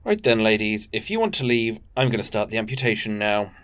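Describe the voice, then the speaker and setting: A man's voice: fairly low pitch, very animated, a little fast, fairly bright tone. An actor playing an earnest, burdened, pragmatic train crewman in a sci-fi audio drama, serious and matter-of-fact. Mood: grimly practical.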